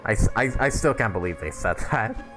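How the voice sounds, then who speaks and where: A man's voice: lowish voice, sing-song, a little fast, over music. A man doing casual live commentary on a video game, sweary and amused, often giggling. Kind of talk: casual livestream gaming commentary.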